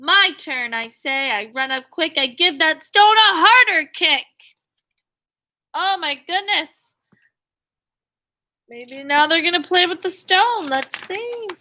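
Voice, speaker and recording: A woman's voice: extremely high pitch, lively intonation, takes it slowly, pauses often, pushed and bright. A woman teacher reading a story aloud to young children, expressively, with questions and asides. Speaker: elementary school teacher.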